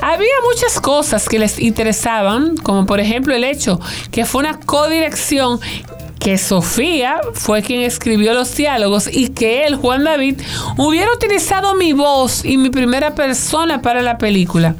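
A woman presents entertainment news in a loose, chatty way, drifting into jokes and asides. Her voice sits a little high, with faint music running under her. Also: very animated, moderate pace. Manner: chatty, digressive, jokey asides. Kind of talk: conversational news report.

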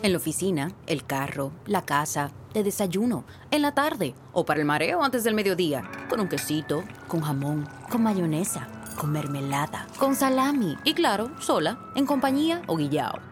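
Lively, lowish woman in a snack ad. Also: moderate pace, over music. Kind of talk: scripted commercial read.

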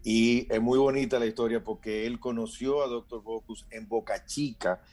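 A man's voice: medium pitch, fairly even intonation, normal speed, a little mellow. A Dominican man in his fifties with a Dominican Spanish accent, hosting a casual chat and telling a family story, acting out the voices of the people in it. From Dominican Republic. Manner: storytelling, acts out quoted voices.

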